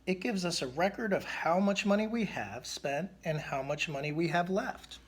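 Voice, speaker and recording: A man voicing a calm, sensible mother bear from a storybook, reasoning in a measured way. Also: sits high, slightly rough. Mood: pondering.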